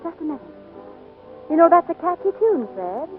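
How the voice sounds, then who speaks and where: A woman's voice: extremely high pitch, very animated, moderate pace, soft background music. An actress playing a friendly, teasing show-troupe woman in 1940s radio drama style. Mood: amused, interested. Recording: tinny, noisy 1940s radio broadcast.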